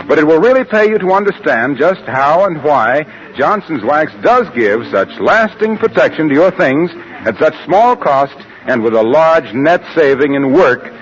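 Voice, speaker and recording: Animated, high-pitched 1940s male radio announcer. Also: a little hiss or hum. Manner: polished, formal, emphatic. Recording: dull 1940s radio recording.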